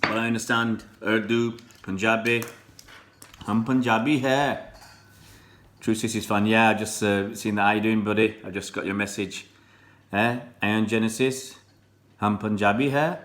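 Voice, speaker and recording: Deep-voiced man chatting on a live stream. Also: steady tone, moderate pace, fairly bright tone. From born in Pakistan, raised in England. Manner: chatty, greets viewers by name, rambling. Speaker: fan channel live streamer. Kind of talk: casual live-stream chat reading comments.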